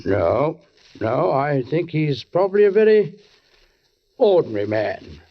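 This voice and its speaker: An actor playing a suspicious, deliberate police sergeant who narrates a murder case in a 1940s radio drama, with measured, pointed pauses. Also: male voice, a little high, big pitch swings, heavily acted. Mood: knowing, pointed. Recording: dull 1940s radio recording.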